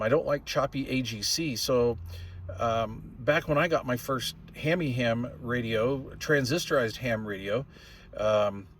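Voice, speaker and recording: A middle-aged or older man giving a casual, plain-spoken and opinionated product review as a hobbyist host. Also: medium pitch. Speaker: radio hobbyist channel host reviewing gear.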